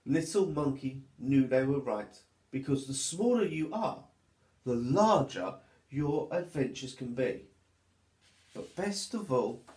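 A man in his thirties reads to young children like a teacher at story time. His delivery is unhurried and very expressive, with lively swings in pitch, recorded in a small echoey room. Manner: expressive, deliberate, addressing young listeners. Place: small plain room at home, indoors.

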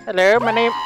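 Playful guy bantering in a video game, pitch jumping all over, loud music behind him. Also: poor audio. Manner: playful, excitable, repetitive exclaiming, profane. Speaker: gaming video host. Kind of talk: casual multiplayer gaming banter.

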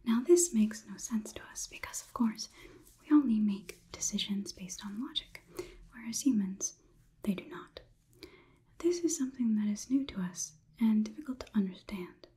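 A young woman whispering softly and deliberately in an ASMR roleplay, playing a curious, logical robot overlord who questions the listener clinically. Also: on the high side, animated. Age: young adult. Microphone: close ASMR microphone.

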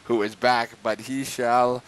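A man's voice: mid-range voice, level tone, a little slow, smooth. A man hosting a dramatic reading of fan fiction, theatrical and stumbling over words. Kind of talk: mock-dramatic reading aloud.

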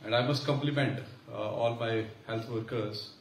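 A man with an Indian English accent giving a formal, measured speech at a conference, pausing with fillers. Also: medium pitch, steady tone. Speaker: senior official giving keynote. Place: large conference hall. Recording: echoey hall from far off, faint hiss.

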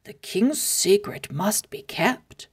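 Woman voicing a patient, slow old wizard. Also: mid-range voice, lively intonation, slightly rough, exaggerated voice. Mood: firm. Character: old male wizard teacher, patient and firm. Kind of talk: children's storybook character voice.

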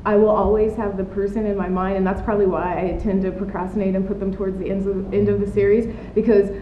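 A woman's voice: flat delivery, fast talker. A woman in her thirties from the United States, talking casually and reflectively in an interview, with many fillers and restarts, recorded outdoors on a patio. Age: 30s. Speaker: artist being interviewed. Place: outdoor patio of an art studio. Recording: roomy, dull outdoor recording with rumble.

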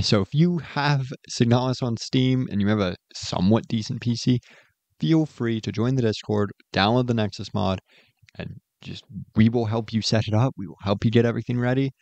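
A man's voice: lowish voice, a little mellow, no echo. A man giving an informal voice-over progress update as a game mod developer, recorded close on a slightly dull microphone.

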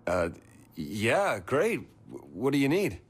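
An actor playing a brash, swaggering gang boss in a video game, speaking bluntly and casually. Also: male voice, mid-range voice, huge pitch swings, slow, husky, exaggerated voice. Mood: starstruck. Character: brash, cocky, foul-mouthed young gang boss.

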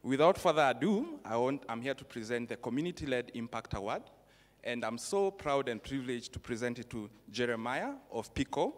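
A man's voice: mid-range voice, fairly quick. Man speaking into a handheld mic in a hall. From Rwanda. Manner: relaxed and self-joking, with fillers. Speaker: foundation representative presenting an award. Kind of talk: informal speech at an awards event. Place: event hall on a stage. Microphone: handheld microphone. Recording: reverberant event hall.

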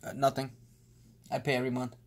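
A man's voice: mid-range voice, completely flat delivery, quick pace. A man talking casually on a phone call, pleading with naive-sounding worry. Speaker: prankster call recipient playing along with a scammer.

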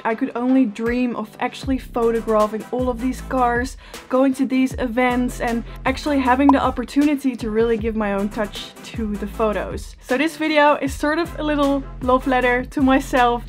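A young woman in her twenties talking casually and enthusiastically to the camera in a small bedroom. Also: high voice. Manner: bubbly, enthusiastic. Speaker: vlogger. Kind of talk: casual vlog talk to camera.

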